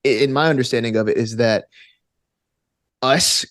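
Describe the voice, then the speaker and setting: A man's voice: medium pitch, normal speed, fairly bright tone, no room sound. Man chatting casually, close dry sound. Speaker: podcast guest, gamer and game master. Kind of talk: casual conversational interview.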